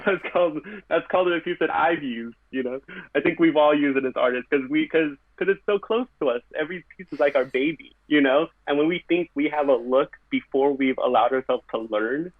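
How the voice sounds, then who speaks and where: A man's voice: a little high, fairly quick, dry recording. A man talking earnestly and reflectively as a podcast guest, in short phrases with fillers. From California. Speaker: podcast guest, background painter. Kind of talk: casual conversation. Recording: tinny phone-like line, very dry.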